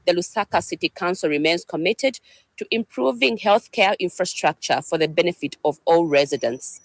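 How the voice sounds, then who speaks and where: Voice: medium pitch, expressive, normal speed, no echo. An announcer reading a scripted public notice in a formal manner.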